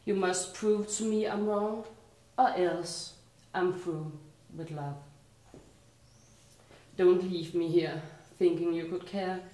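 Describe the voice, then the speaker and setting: Danish-accented woman, poet at a live reading, lowish voice, slow rhythmic delivery with soft line endings. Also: steady tone, echoey room. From Denmark. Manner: slow, rhythmic recitation that sinks quieter at line ends. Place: art and culture community venue.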